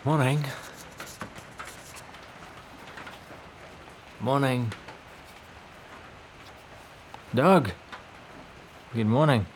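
An actor playing a weary, conscientious doctor in a naturalistic 1940s audio drama, speaking in a low-key, hesitant way. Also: male voice, slow, a little hiss or hum, lightly acted. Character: weary, conscientious male Norwegian doctor in 1945.

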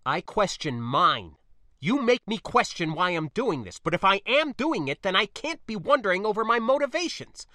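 A male narrator plays a determined space pilot in an audiobook. The voice is bright and raised, with lively swings in pitch. The delivery is colloquial and insistent, wry and defensive. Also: moderate pace, dry recording, studio quality.

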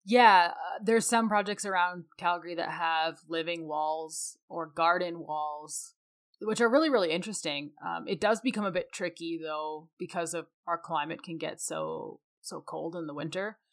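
Female podcast co-host, Canadian accent, lower-pitched voice, casual conversational explainer. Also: normal speed, fairly bright tone. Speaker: mechanical engineer.

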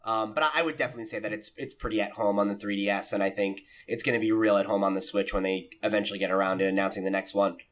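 A man's voice: on the low side, fairly even intonation, rapid-fire, few pauses, fairly bright tone. A man hosting a casual gaming podcast in a conversational, chatty way. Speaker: podcast host.

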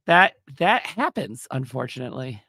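A man hosting a livestream chat, hoarse from a cold, rambling with lots of fillers and restarts. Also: medium pitch, big pitch swings, moderate pace, bright, forceful tone, no room sound, studio quality. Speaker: podcast host. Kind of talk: casual livestream talk.

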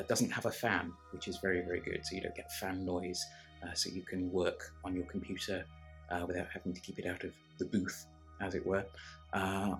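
Middle-aged man, deep voice, casual, hesitant, chatty, faint music. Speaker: voice actor. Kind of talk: casual testimonial.

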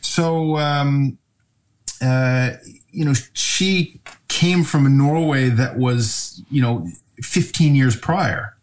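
A man's voice: medium pitch, extremely slow, a little mellow. A man from the United States, a guest in a casual interview, talking in a rambling way with many restarts. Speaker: podcast guest, brewery owner.

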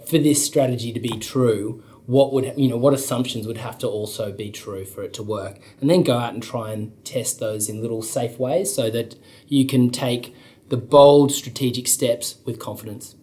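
A man's voice: mid-range voice, steady tone, moderate pace, mellow. A man who is a strategy consultant on a podcast, giving structured advice in a conversational way.

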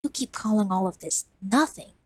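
A woman's voice: medium pitch, expressive, moderate pace, husky, no echo. A woman voicing an eager, trusting young man who talks in earnest, pleading bursts.

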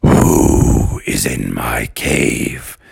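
A man voicing a menacing, mysterious monster in a cave with a very deep, breathy growl, slow and ominous, for a children's story. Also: expressive, darkish tone, no room sound, studio quality, full character voice.

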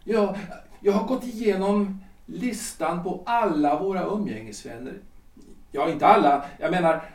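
An actor playing an anxious, self-important lawyer husband in his forties in a period audio drama, halting and repeating himself. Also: high voice, recorded from a distance. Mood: hesitant, confessional. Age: 40s. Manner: hesitant, halting, repeating words and phrases. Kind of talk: period stage-play audio drama acting. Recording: roomy echo, off the mic.

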